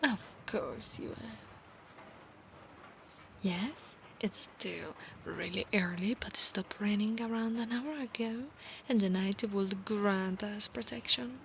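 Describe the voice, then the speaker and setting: Casting: actress as possessive, doting female centaur knight; Italian accent, unhurried whispery ASMR delivery, touch of creak. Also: medium pitch, fairly bright tone, slight background noise. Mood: playful, coaxing. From Italy. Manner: breathy, often slipping into whispers. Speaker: ASMR roleplay performer. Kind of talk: ASMR roleplay, soft-spoken with whispers.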